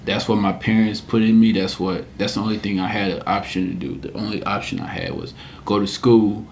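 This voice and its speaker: Earnest young man from Cameroon, low-voiced. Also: expressive, a little fast, creaky, darkish tone, a little hiss or hum. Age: 20s. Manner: earnest, reflective storytelling with many "man"s and "you know"s. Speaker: podcast guest, entrepreneur. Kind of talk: casual interview chat.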